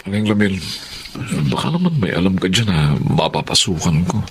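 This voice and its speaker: A man acting a jealous, insecure jobless husband who is quick to anger, in a Tagalog radio drama. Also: low voice, sing-song, slight background noise. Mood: worried, downcast.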